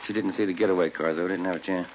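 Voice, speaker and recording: In a muffled old radio drama with faint hiss, an actor voices a male police detective. His low voice reports in brisk, clipped phrases, blunt and matter-of-fact. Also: fairly even intonation, lightly acted. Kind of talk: 1950s radio drama acting, brisk procedural dialogue.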